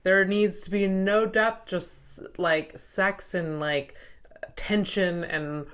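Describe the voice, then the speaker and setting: Low-voiced woman chatting casually. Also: fairly even intonation, slow pace, fairly bright tone, clean tone. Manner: laughs often, lots of filler "like". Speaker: podcast co-host. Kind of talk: casual chat.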